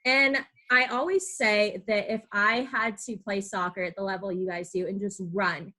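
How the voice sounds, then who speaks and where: Bright-voiced American woman hosting casually. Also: medium pitch, fairly even intonation, normal speed. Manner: chatty, informal, self-deprecating. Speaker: podcast host. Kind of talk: casual chat interviewing.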